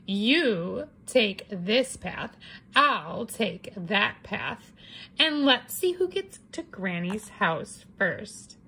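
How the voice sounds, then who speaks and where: A woman's voice: medium pitch, sing-song, very slow, bright, forceful tone, breathy edge, exaggerated voice, rough recording. A woman voicing a sly, hungry big bad wolf who tells his side with theatrical mock innocence in a children's read-aloud. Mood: scheming.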